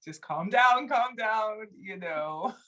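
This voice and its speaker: A young adult woman from Texas, a singer talking as a guest in a casual conversation, laughing often and getting loud when excited. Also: medium pitch, takes it slowly. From Texas, USA.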